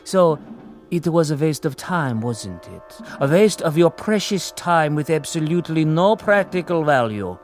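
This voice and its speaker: Grave, implacable ghostly accuser, music behind. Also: male voice, a little high, animated. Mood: stern, condemning. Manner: measured, grave, coldly ironic, formal. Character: solemn, accusing ghost of murdered male camp prisoner, implacable. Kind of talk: radio drama acting.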